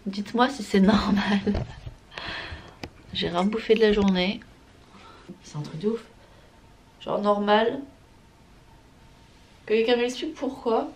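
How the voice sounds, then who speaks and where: A woman's voice: medium pitch, slow, long pauses, darkish tone. A young woman chatting casually to camera in a slangy, emphatic way, dropping in English phrases. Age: young adult. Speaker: vlogger. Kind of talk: casual vlog chat to camera. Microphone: camera or phone held while vlogging. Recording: clearly noisy everyday vlog audio.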